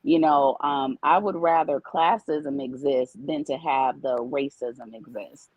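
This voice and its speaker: Husky woman explaining at length. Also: a bass voice, measured pace, no echo, lo-fi sound. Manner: explains at length, repeats points. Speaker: guest. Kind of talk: earnest casual discussion.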